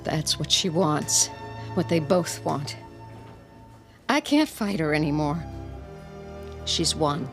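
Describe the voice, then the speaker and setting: A woman's voice: deep voice, expressive, gravelly, music in the background, exaggerated voice. A woman acting in a radio drama as a despairing, fatalistic mother, bleak and resigned. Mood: defeated, bleak. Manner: bleak, resigned, drawn-out delivery.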